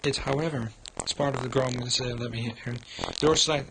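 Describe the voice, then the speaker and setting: A man's voice: medium pitch, steady tone, gravelly, background noise. A man reading a visual novel aloud on a gaming livestream, stumbling over words as he goes. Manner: halting reading with frequent stumbles. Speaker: livestream host. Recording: loud music under the voice.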